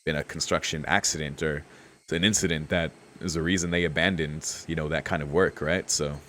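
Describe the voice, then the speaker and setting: A man's voice: extremely low pitch, fairly even intonation, a little fast, fairly bright tone, slight background noise. A young man giving casual game commentary as a streamer, musing in a laid-back, slangy way and reading text aloud. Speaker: streamer playing a visual novel.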